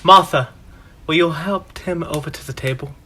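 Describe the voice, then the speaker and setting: A humble, kindly father speaks softly to his family, as a young man acts him on stage. His voice is a touch high, with faint noise in the background. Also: moderate pace. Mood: tender. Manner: gentle, warm-hearted, prayerful. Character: humble, gentle poor father and clerk. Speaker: student actor.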